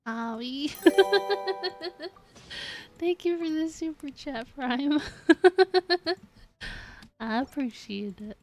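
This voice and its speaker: A woman's voice: high-pitched, lively intonation, very slow, long pauses. A woman chatting casually and playfully on a gaming livestream, giggly and given to exclamations. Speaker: game live streamer.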